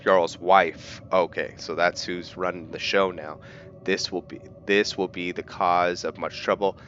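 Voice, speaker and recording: Deep-voiced man, slow casual gameplay commentary. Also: little pitch movement, fairly bright tone. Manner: halting when reading aloud, stumbling over words. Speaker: video game let's player. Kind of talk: casual gameplay commentary, reading in-game journal aloud. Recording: dull lo-fi mic, light background noise.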